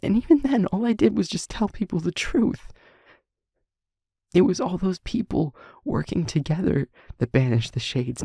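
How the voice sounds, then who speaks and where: A male actor plays a self-doubting, guilt-ridden young man in an intimate audio drama. His husky voice is creaky and breathy, and he speaks slowly and earnestly, stumbling over words between heavy pauses. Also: a little high, warm tone, dry recording, clean studio sound, heavily acted. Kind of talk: intimate audio roleplay drama, emotional monologue.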